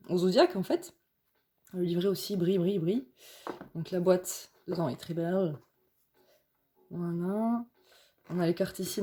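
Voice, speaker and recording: A young woman from France with a hoarse voice from a cold, chatting casually and rambling with many fillers as she presents a tarot deck. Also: on the low side, mellow. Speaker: tarot reader. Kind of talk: casual tarot vlog chat.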